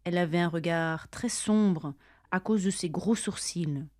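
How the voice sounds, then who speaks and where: A woman reading her part of a scripted dialogue for language learners, speaking carefully and deliberately, each line said twice. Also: on the low side, a little mellow, no room sound, clean studio sound. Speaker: language-lesson dialogue reader.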